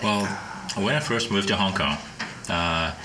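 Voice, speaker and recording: A man's voice: sits low, measured pace. A middle-aged man from California, a business owner answering interview questions, hesitant, with many fillers and restarts. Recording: studio with clear background noise.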